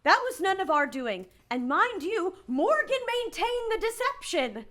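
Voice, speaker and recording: A woman's voice: extremely high pitch, very animated, unhurried, a bit bright, exaggerated voice. A woman playing a headstrong, pleading young princess in a fantasy script, speaking with impassioned emphasis. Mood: defiant, pleading. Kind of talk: table read of fantasy screenplay.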